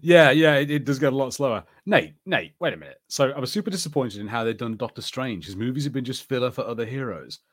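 A man's voice: medium pitch, lively intonation, fast talker, hardly any pauses, dry recording. Chatty man co-hosting a podcast, dry close sound. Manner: chatty, laughs often. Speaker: podcast co-host. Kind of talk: casual watch-party chat.

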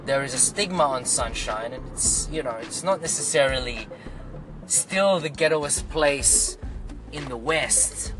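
A young man with a Filipino English accent telling stories in a rambling, chatty way while driving his car. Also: medium pitch. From Australia. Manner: rambling, chatty, lots of "you know". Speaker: rideshare driver vlogger. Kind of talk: casual storytelling vlog. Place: in a car, stuck in traffic.

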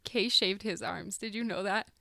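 Bright-voiced young woman, animated chat. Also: mid-range voice, moderate pace, creaky, no echo, studio quality. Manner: animated, filler-heavy, acts out quotes. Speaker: podcast co-host. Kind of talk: casual chat.

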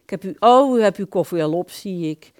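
A woman's voice: mid-range voice, lively intonation, a little fast, no echo, studio quality, lightly acted. A woman playing a frank, chatty hairdresser in a radio play, with blunt professional patter. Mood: brisk, concerned.

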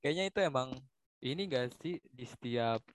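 Young man, medium pitch, casual. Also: animated, normal speed. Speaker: discussion participant. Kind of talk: casual chat.

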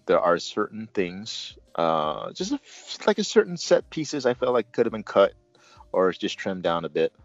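Deep-voiced man chatting casually about movies. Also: no room sound, muffled recording. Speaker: movie review host. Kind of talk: casual conversational movie review.

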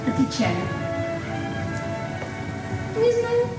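A woman's voice: very high voice, wildly swooping pitch, extremely slow, heavily acted. An actress playing a naive, candid, shy librarian in her forties in a 1970s Soviet radio play, earnest and hesitant. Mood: candid, nervous. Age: middle-aged. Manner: childlike, earnest, hesitant, questioning. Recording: echoey distant 1970s stage-like recording, music behind.

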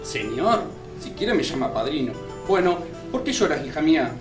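A man's voice: on the high side, very animated, moderate pace, music in the background. A man playing a fussy, flippant fairy godfather in comic radio theatre, theatrical and brusque, with an Argentine accent. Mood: kindly, fussy.

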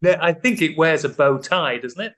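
A man's voice: a little high, lively intonation, moderate pace, sharp tone. A man hosting a comedy podcast quiz, teasing his co-host in casual banter. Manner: playful, teasing quizmaster.

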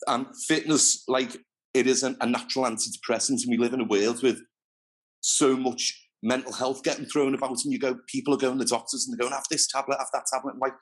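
A man's voice: mid-range voice, steady tone, fast, husky. A man, chatting freely as an interview guest and telling his story in a rambling way. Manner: chatty, rambling, restarts often. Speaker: interview guest, fitness trainer. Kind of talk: casual interview chat.

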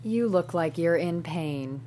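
A woman's voice: on the low side, animated, takes it slowly, mellow, slight background noise. An actress playing a frank, supportive female friend in a script table read, speaking directly, like someone giving counsel. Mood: frank, consoling.

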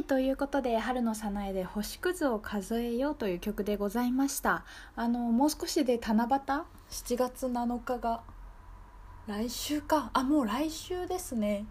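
A woman hosting a radio show, talking casually and reflectively in a gentle, polite, thoughtful way. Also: fairly high pitch, husky. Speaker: radio show host and singer-songwriter.

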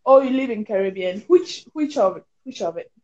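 Woman, Ghanaian accent, somewhat high pitch, casual chat, dull lo-fi sound. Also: lively intonation, brisk, warm tone.

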